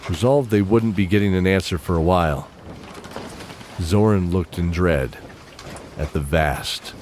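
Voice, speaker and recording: Deep-voiced man narrating dramatic fantasy. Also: a little mellow, slight background noise. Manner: measured, dramatic phrasing with deliberate pauses.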